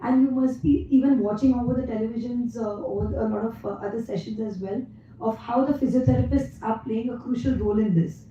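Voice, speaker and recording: An Indian woman with a worn, fading voice addresses a lecture hall with lively energy, pausing often with "uh." Her tone is very dark and mellow, and her voice rings through a big echoey room from a distance. The sound is dull. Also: fairly high pitch, slight background noise. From India (Mumbai). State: losing her voice. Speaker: guest speaker at a physiotherapy event. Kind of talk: conference talk to an audience.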